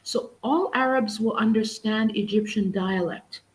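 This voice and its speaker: A woman's voice: mid-range voice, measured pace, low-quality recording. A middle-aged woman speaks as a live-stream guest over a video call. Her voice sits mid-range, and her talk is easy and chatty. She often restarts sentences and fills gaps with "you know". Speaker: guest, English teacher and exam prep tutor. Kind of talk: casual live-stream conversation.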